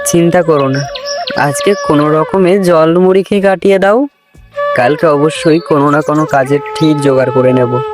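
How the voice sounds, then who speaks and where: A man's voice: fairly high pitch, fairly even intonation, normal speed, lightly acted. A man voicing a poor, meek, apologetic village husband in a cartoon folk tale. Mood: reassuring. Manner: pleading, apologetic. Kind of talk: cartoon fairy-tale voice acting. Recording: loud background music.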